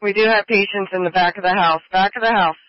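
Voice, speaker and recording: A man's voice: sits high, fairly even intonation, fast, no echo. A man working as an emergency dispatcher, giving units and addresses over a two-way radio. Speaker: fire and police dispatcher. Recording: muffled scanner radio audio.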